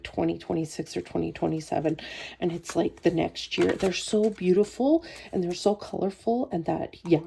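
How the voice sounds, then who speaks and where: A woman's voice: medium pitch, gravelly, dark tone, slight background noise. A woman with a cold and a sore throat, chatting casually through a budgeting vlog in a friendly, rambling way. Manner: friendly, rambling, frequent ums. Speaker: budgeting vlogger.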